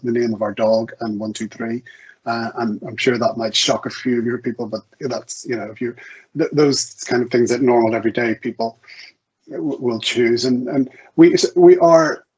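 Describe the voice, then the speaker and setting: A man's voice: medium pitch, fairly even intonation, normal speed, breathy edge. A man speaking as a guest author in an interview, conversational, hesitant and often restarting his sentences as he thinks aloud. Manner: hesitant, self-correcting, stammering restarts. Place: online video event. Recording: muffled, lo-fi online call audio.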